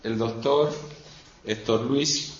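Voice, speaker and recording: A man's voice: mid-range voice, steady tone. A man acting as event moderator, introducing a guest speaker in a semi-formal way and stumbling over some words as he goes. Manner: halting, stumbles over words as if reading notes. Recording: roomy echo, dull sound, background noise.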